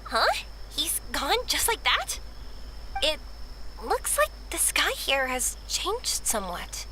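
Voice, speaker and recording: A woman's voice: high-pitched, wildly swooping pitch, takes it slowly, background noise, heavily acted. A woman playing an upbeat, playful, encouraging young woman in a video game. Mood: curious.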